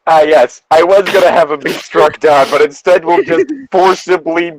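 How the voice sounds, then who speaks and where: A man's voice: fairly high pitch, normal speed, poor audio. A man co-hosting a comedic fan fiction reading, moving between narration and sardonic, incredulous casual banter.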